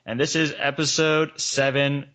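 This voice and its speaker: A man's voice: a little high, level tone, measured pace, sharp tone. A man hosting a podcast, delivering promos and introductions and putting questions to a guest. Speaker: podcast host. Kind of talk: scripted promo and interview hosting. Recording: dull lo-fi podcast audio.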